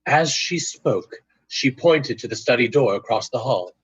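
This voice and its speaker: A man narrating a ghost story in old-time radio style, with deliberate, suspenseful storytelling. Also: medium pitch, lively intonation, husky, dry recording, dull audio.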